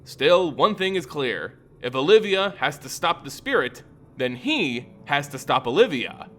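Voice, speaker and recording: A man giving a dramatic, theatrical scripted narration of a fantasy story, with deliberate emphasis. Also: fairly high pitch, sing-song, fairly bright tone. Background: music.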